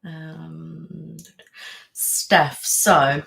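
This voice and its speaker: Woman, young adult, low voice, casual livestream chat, rambling and friendly, tired. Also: level tone, extremely slow, gravelly, a bit bright. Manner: chatty, thanks viewers by name, frequent "um" and "literally". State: tired from a house move. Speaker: ASMR channel host. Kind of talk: casual live-stream chat reading comments.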